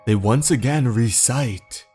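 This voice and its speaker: A man narrating a horror story in a measured, dramatic storytelling manner. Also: mid-range voice, a little mellow, slightly rough. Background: faint music.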